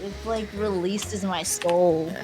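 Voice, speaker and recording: A young woman talking openly and casually on a stream, her voice sitting at an ordinary middle pitch. Her phrases often fade to a soft murmur at the end. Clear music plays behind her. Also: steady tone, takes it slowly. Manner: candid, soft-spoken, trailing off quietly. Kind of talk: casual chat on a live stream.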